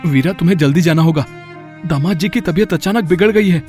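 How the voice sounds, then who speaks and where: Man acting high-pitched, protective folktale brother. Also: brisk, darkish tone, faint music behind, close to an everyday voice. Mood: concerned. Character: protective, scheming, worried adult brother in folktale.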